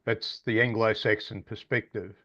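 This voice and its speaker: A man's voice: fairly low pitch, flat delivery, moderate pace. A man, an audience member on a video call, asking a question in a chatty and wry way. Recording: dull lo-fi video call audio.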